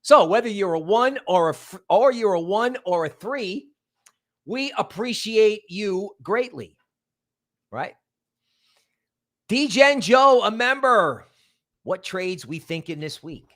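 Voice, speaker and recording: A male livestream host with a fairly high voice for a man. He chats casually and rambles into asides. He leans hard on key words, often repeating them for stress. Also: lively intonation, slow, pauses often, a bit bright. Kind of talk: casual livestream chat with viewer shout-outs and promotion.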